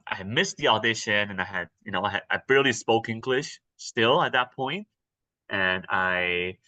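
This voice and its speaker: A fairly low-pitched young man from Taiwan talks through his answers, pausing often on ums and starting sentences over. His voice is bright and forward. It sounds close and dry, with no room around it. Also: dry recording, poor audio. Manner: hesitant, many ums and restarts. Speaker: interview guest, actor. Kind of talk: casual interview answers.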